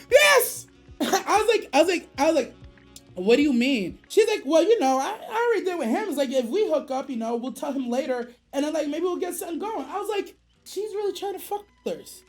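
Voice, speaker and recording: American woman, high swooping voice, slangy profane storytelling, animated livestream delivery, music in the background. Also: moderate pace. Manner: animated, profane, slangy, acts out quoted dialogue. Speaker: streamer. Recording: music behind the voice.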